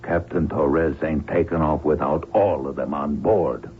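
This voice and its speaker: A man's voice: extremely low pitch, fairly even intonation, unhurried. An actor playing a tough, cynical hired gunman in an old radio drama, talking in blunt, folksy slang. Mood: defiant. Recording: tinny band-limited old radio recording, faint noise.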